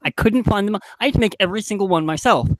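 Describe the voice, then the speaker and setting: A man's voice: sits high, fast talker, a bit bright, no room sound. A man talking casually as a podcast panelist and sound designer, telling anecdotes in an informal, emphatic way.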